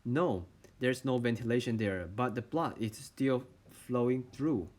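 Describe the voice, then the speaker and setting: A young man from China, a medical student with a Chinese accent, talking through a physiology lesson in a careful, halting way and answering his own questions. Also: medium pitch, darkish tone. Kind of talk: lecture-style explainer video.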